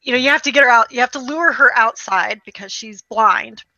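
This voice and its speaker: A woman talks casually about video games in a fairly high, bright voice. She tells stories animatedly, acting out quotes and swearing freely. The recording is close and dry, a little lo-fi. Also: a little fast, no echo. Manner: animated storytelling with acted-out quotes. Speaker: podcast host.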